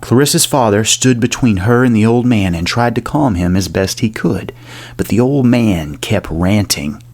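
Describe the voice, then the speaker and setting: Low-voiced man narrating horror. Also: lively intonation. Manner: measured, literary, ominous storytelling. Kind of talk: dramatic horror story narration. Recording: music behind the voice. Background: soft music.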